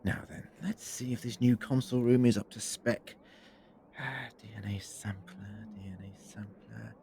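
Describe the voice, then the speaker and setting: An actor playing an eccentric, inquisitive time-traveller in an audio drama, muttering briskly to himself. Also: male voice, fairly low pitch, fairly even intonation, noisy background, lightly acted. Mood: puzzled, musing.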